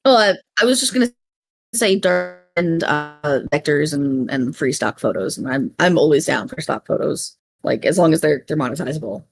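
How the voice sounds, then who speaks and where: Female panelist, very low pitch, gravelly fry, casual and hesitant podcast chat. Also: expressive, moderate pace, a little hiss or hum.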